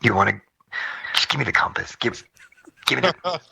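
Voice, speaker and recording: A man with a gravelly, raspy voice performing audio drama lines, breaking off into exasperated asides and retakes. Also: mid-range voice, animated, moderate pace, pushed and bright, rough recording. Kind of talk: voice acting outtakes with muttered retakes and asides.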